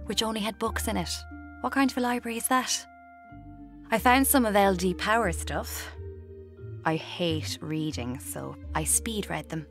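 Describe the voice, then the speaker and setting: A woman's voice: mid-range voice, close to an everyday voice. An actress in her twenties playing a blunt, restless aspiring writer with an Irish accent in a first-person radio drama. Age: 20s.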